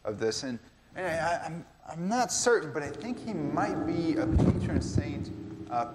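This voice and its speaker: A man's voice: on the high side, very animated, measured pace, heavy background noise. A man telling a saint's story casually, rambling with fillers, in a church skit. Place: church sanctuary. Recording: echoey church hall.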